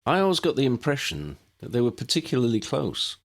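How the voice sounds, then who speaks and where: A man voicing an earnest grown man in an audiobook, telling his story in a halting, reflective way. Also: medium pitch, wildly swooping pitch, vocal fry, pitched above the performer's own voice. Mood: explaining. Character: earnest grown man recalling a lost school friend.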